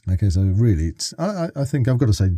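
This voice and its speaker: A man's voice: fairly low pitch, sing-song, fast talker, warm tone, slightly rough, dry recording, clean studio sound. An older man chatting casually as a podcast interviewer, talkative and given to teasing his guest. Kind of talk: casual interview chat about sci-fi.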